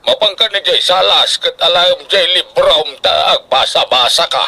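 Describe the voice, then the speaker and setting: A man's voice: high voice, moderate pace, vocal fry, pushed and bright, exaggerated voice. A man playing a stern but doting middle-aged farmer father in a radio drama, with an Ilocano Filipino accent and emphatic delivery. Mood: fond, bossy.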